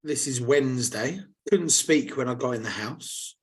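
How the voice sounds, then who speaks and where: A man, slightly hoarse, co-hosting a casual podcast and telling stories with lively, laddish banter. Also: medium pitch, unhurried. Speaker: podcast co-host. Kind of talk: casual chat.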